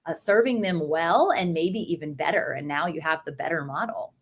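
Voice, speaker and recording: A woman's voice: low-pitched, normal speed, slightly rough. A woman hosting an interview podcast, speaking in an enthusiastic, affirming way. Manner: enthusiastic, affirming, faith-centered. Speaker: podcast host. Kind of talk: conversational interview.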